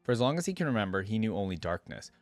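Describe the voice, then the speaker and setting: A man with a fairly low voice reads a dramatic tale aloud in a measured, solemn way. He is a reaction host, so the delivery can slip into a casual register. The sound is dry, with very little room. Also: sing-song, no room sound. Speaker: reaction video host reading a story aloud. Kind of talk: dramatic story reading with casual asides.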